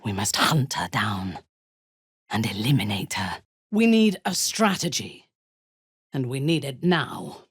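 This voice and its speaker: An actress playing a cold, ruthless dark elf warrior-priestess in a video game, haughty and deliberate, with a breathy, husky voice. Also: female voice, very deep voice, expressive, no room sound, studio quality, exaggerated voice. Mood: commanding. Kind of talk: video game character dialogue.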